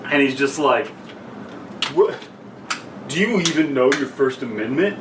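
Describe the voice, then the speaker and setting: A man's voice: medium pitch, animated, unhurried. A man telling loose anecdotes as a podcast co-host, rambling and acting out what people said. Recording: echoey, distant mic with loud noise.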